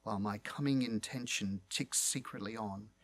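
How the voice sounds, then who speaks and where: A man's voice: fairly low pitch, normal speed, fairly bright tone. Formal man giving a literary lecture. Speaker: literary event speaker and host. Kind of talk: prepared literary lecture read aloud.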